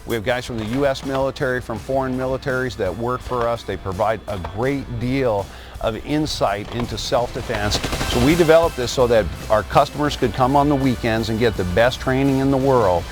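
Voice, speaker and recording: A man's voice: medium pitch, brisk, over music. A man speaking as a spokesman in a sponsor advertisement, proud and plain-spoken. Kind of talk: promotional ad.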